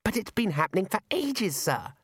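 A man's voice: high-pitched, big pitch swings, normal speed, a bit bright, husky, no room sound, clean studio sound, pitched above the performer's own voice, exaggerated voice. A man voicing an earnest young male wizard who speaks politely and carefully.

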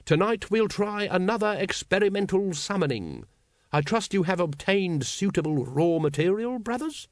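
A man's voice: high-pitched, expressive, normal speed, no room sound, studio quality, pitched above the performer's own voice. A man voicing a pompous, long-suffering grand master of a secret order, ceremonial and peevish, in a comic fantasy storybook.

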